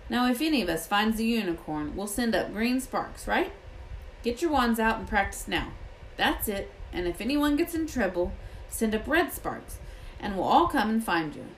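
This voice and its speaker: A woman voicing a gruff but kindly giant male gamekeeper from a storybook, protective and cautious, with rustic, colloquial speech. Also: a little high, sing-song, normal speed, a little hiss or hum, pitched above the performer's own voice, rough recording. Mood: brisk, commanding. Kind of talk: storybook character voice.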